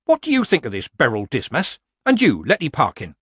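Feisty old storybook witch, played by a male reader: raised, loud, blunt, colloquial grandmother who scolds. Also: a little high, wildly swooping pitch, a little fast, slightly rough, no room sound, studio quality, pitched above the performer's own voice, exaggerated voice. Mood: snapping.

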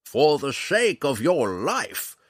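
A man voicing a crafty, mischievous old ranger with brisk, theatrical, formal speech in a fantasy audiobook. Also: a little high, very animated, husky, no echo, clean studio sound, voice pushed up, full character voice. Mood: gleeful, taunting.

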